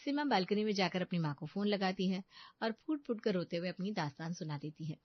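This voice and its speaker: A woman's voice: medium pitch, expressive, brisk, dry recording, lo-fi sound. A woman narrating a scripted family story as a storyteller.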